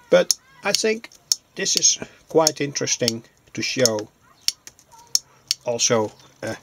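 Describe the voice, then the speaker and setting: A man giving an unscripted hands-on demonstration as a hobbyist presenter, explaining things in a halting, deliberate way. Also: medium pitch, vocal fry, noisy background.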